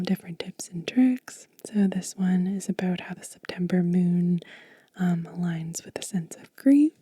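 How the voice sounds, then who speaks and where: A woman speaking gently and unhurriedly in a soft, whispery, breathy voice, close to the mic, as an ASMR host showing and telling. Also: mid-range voice, no room sound. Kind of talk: soft-spoken ASMR show and tell.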